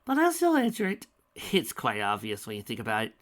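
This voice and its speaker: A man's voice: lowish voice, huge pitch swings, brisk, fairly bright tone. A man voicing a manic, bloodthirsty male alicorn villain who cackles and sneers. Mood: menacing.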